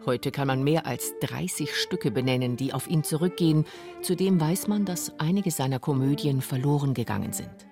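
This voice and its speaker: A woman's voice: deep voice, normal speed, music in the background. A woman narrating a scripted radio documentary.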